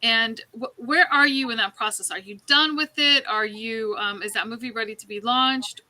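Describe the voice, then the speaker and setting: A woman's voice: on the high side, normal speed, sharp tone. A woman interviewing a guest in a conversational way as a talk show host.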